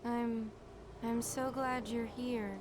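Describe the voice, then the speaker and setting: An actress playing a dazed, adoring female waitress in a video game, speaking in a halting, dreamy, fawning way. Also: a little high, little pitch movement. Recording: noisy ambience.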